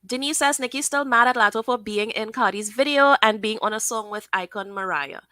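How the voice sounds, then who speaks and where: A woman hosting a casual live stream, giving her opinions and reading viewers' comments aloud in a chatty, thinking-out-loud way. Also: a little high, fairly quick, no echo, studio quality. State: sniffly from sinus trouble. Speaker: livestream host.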